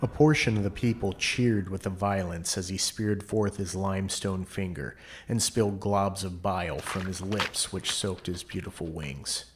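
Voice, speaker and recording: Low-voiced man narrating a brooding drama, noisy backdrop. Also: steady tone, moderate pace. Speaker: narrator of an audio play. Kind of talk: theatrical first-person scripted narration.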